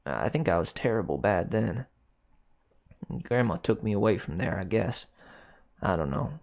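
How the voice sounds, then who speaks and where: A man voicing a troubled, defiant little girl with an American country accent, telling her memory in halting, childlike, insistent speech. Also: on the low side, expressive, gravelly, darkish tone. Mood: confused, haunted. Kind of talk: dramatic first-person story reading.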